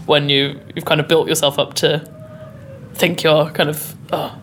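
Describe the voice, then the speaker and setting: Deep-voiced young British woman. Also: flat delivery, moderate pace, music in the background. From United Kingdom. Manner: chatty, bubbly, uses "like" and "kind of". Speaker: mezzo-soprano. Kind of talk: interview. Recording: faint background noise.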